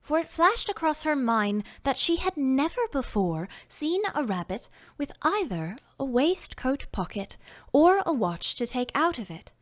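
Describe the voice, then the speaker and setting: Slow, lively woman reading a storybook. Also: sits high. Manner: unhurried, expressive, slips into soft whispers. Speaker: audiobook narrator. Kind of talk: scripted storybook narration.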